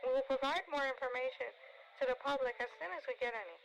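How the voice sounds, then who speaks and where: Creaky-voiced woman acting as a radio newsreader. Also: sits high, quick pace, a little hiss or hum, rough recording. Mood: somber, formal. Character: somber female radio news reporter announcing a death. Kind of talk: scripted radio news bulletin.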